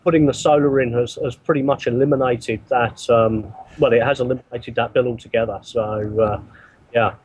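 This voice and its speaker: Halting, self-repeating man. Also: mid-range voice, fairly even intonation, a little mellow, husky. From not from the country he works in. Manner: halting, repeats words often. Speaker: interview guest, mission builder. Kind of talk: remote interview answers.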